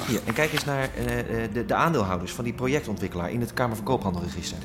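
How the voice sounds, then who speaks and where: An actor playing an eager, triumphant activist researcher in a radio drama, explaining things excitedly. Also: male voice, mid-range voice, racing, close to an everyday voice. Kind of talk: naturalistic radio drama acting.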